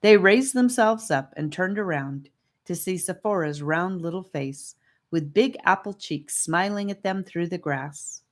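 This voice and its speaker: A woman's voice: lowish voice, animated, measured pace. A woman reading scripted narration of a children's fantasy audiobook.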